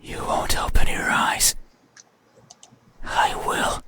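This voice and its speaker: Casting: performer as an otherworldly horror-game entity, whispered throughout, very unhurried, menacing with a sorrowful edge. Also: lightly acted. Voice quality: breathy unvoiced whisper. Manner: slow, halting, insistent. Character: eerie mysterious being demanding to be seen, menacing and sorrowful. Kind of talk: horror visual novel voice acting.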